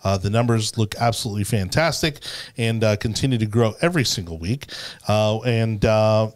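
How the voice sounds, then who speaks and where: A man's voice: fairly low pitch, normal speed. A man hosting a political podcast, casually plugging events with lots of "uh" fillers. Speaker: podcast host.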